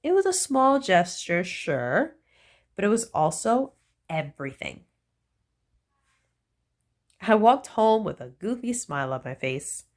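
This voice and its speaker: A woman reads a children's picture book aloud in an unhurried, storytelling way. Her voice swoops up and down with playful emphasis on the punchlines. The pace stays gentle and deliberate throughout. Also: medium pitch, very animated.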